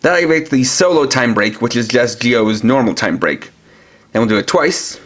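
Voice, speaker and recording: A man's voice: medium pitch, animated, moderate pace, a bit bright. A man giving a step-by-step, explanatory product demonstration as a toy reviewer.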